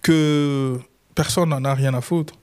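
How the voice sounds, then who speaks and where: A man's voice: fairly high pitch, slow pace, clear, resonant tone. A young man from Chad with a Chadian French accent, a lawyer guest in a casual interview, telling his story and acting out the dialogue. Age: young adult. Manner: animated, acts out quoted dialogue.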